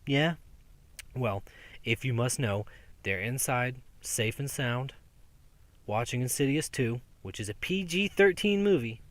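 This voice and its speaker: A man's voice: mid-range voice, dry recording. A young man rambling sarcastically and profanely in a casual outdoor monologue as a podcast host. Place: outdoors in daylight.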